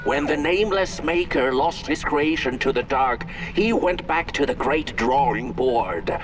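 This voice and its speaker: A man playing a solemn storyteller, narrating a dark myth for a video game in a literary manner. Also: fairly high pitch, lively intonation, measured pace, noisy background. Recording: distant voice under music. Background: music.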